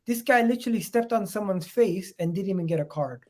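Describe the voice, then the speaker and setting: A man hosting a casual football panel, moving the discussion along with quick questions. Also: sits high, lively intonation, darkish tone. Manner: brisk, steering the discussion with questions.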